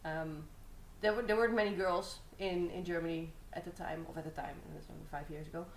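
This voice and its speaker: A woman's voice: lowish voice, fast talker. Young woman from the Netherlands, guest fighter, easygoing rambling talk, roomy echo, faint background hum. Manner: relaxed, rambling storytelling with many fillers. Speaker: guest, Muay Thai fighter. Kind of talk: casual interview answers.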